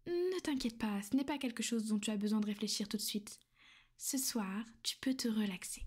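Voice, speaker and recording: Woman doing a whispery vampire lady, soothing and kinda possessive, slightly high voice, asmr vibe. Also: moderate pace, husky. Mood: teasing. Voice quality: soft, half-whispered and intimate. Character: gentle, reassuring female vampire mistress. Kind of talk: ASMR roleplay.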